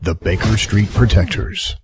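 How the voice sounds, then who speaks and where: Male announcer, low register, scripted title read over prominent music. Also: a little slow. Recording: clear music behind voice.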